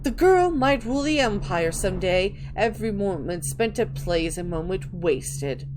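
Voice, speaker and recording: A woman reads video game texts aloud in a theatrical, storytelling way. Her pitch is middling, and she trips on words now and then before picking the phrase back up. The recording has clear background noise. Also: animated, moderate pace. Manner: theatrical, stumbles over words and restarts. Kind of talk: dramatic reading of in-game books.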